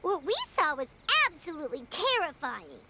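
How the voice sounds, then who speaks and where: A woman voicing a curious, excitable, childlike small female companion in a video game, with an inquisitive, exclamatory delivery. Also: high voice, moderate pace, background noise. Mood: surprised. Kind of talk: scripted video game dialogue.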